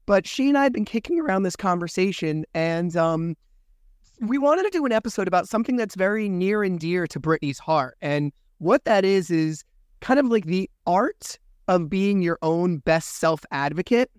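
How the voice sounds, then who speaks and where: A man hosts a podcast with a raspy voice because he is a little under the weather. He sits a touch high in pitch and talks candidly, swearing freely. The sound is close and dry, with no room around it. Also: lively intonation, normal speed, dry recording. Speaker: podcast host. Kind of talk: casual podcast chat.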